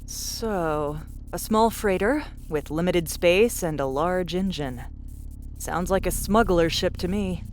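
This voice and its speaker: A woman's voice: fairly low pitch, takes it slowly, a little mellow, faint music behind. A woman playing a tall, confident, pragmatic young adventurer in a dramatised science fiction audiobook, speaking in a matter-of-fact, dryly assured way. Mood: wry, calm.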